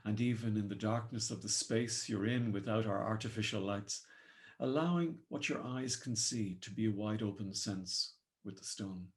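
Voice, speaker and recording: Meditation guide: Irish male, lowish voice, solemn and measured, poetic delivery. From Ireland.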